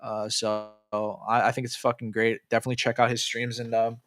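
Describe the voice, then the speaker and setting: Casual young male streamer, late-night and loose, tense bright tone, dead-dry close mic. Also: medium pitch, level tone, brisk, dry recording. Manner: loose and profane, with many fillers and restarts. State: tired from staying up late. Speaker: crypto livestreamer reading chat. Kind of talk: casual livestream chat.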